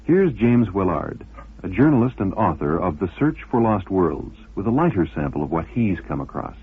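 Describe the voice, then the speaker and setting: A man with a deep, very husky voice presents a scripted documentary. His delivery is measured and articulate, with lively intonation. The broadcast sounds narrow and muffled, like vintage radio. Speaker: documentary narrator, host.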